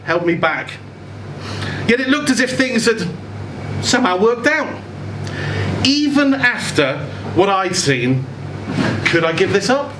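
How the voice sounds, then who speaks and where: A man giving an expressive live reading of a first-person short story. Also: high-pitched, slow pace, long pauses. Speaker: story reader. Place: indoor event venue with audience. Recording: echoey room, clear background noise.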